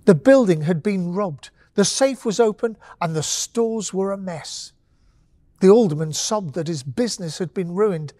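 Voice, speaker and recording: A woman narrating a bedtime story with gentle, expressive pacing and dramatic pauses. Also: lowish voice, no room sound.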